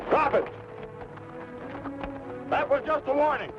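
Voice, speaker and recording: An actor playing a menacing, bossy outlaw who barks orders in a 1950s TV Western. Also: male voice, very high-pitched, slow. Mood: threatening, gloating. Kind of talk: 1950s television Western villain acting. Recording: muffled 1950s TV soundtrack with music.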